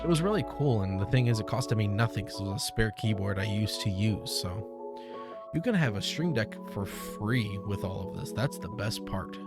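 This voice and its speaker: A young man hosting a casual software tutorial, speaking informally into a close desk microphone. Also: on the low side, expressive, moderate pace. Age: young adult. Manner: informal, conversational. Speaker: tutorial host. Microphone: close desk microphone on boom arm. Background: music.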